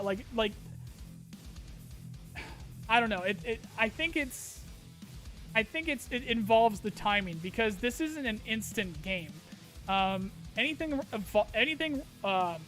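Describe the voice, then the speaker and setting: A man's voice: high-pitched, fairly bright tone. A man streaming a casual chat, reading viewer questions aloud and answering loosely with fillers, a little tired and his voice getting strained.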